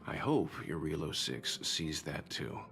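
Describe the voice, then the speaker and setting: An actor playing a wise, encouraging older mentor in a video game, measured, reflective and reassuring. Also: male voice, rumbling low, very animated, gravelly, a bit bright, full character voice. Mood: earnest, encouraging. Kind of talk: scripted video game dialogue. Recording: faint music underneath.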